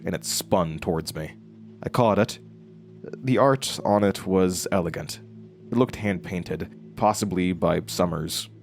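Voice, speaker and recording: An actor playing a haunted, brooding man who narrates his horror story in an audio drama, halting and deliberate. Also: low voice. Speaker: narrator and main character.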